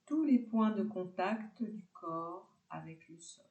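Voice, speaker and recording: A woman teaching yoga nidra, guiding a relaxation meditation calmly in short, separated phrases. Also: lowish voice, slow pace, reverberant, muffled recording.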